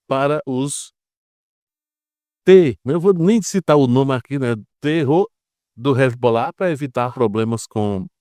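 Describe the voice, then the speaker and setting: A man's voice: on the high side, a little mellow, no echo, clean studio sound. A man with a Brazilian Portuguese accent giving informal news commentary as an online geopolitics commentator, explaining step by step and often checking in with short tag questions. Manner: explanatory, peppered with tag questions like "right?" and "okay?".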